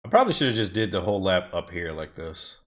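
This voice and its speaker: A man with a slightly low voice chats loosely over his own gameplay. His talk is slangy, relaxed and playful, like a streamer talking to viewers. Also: fast talker. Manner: laid-back, slangy, joking. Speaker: streamer playing a racing game. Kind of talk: casual gameplay commentary.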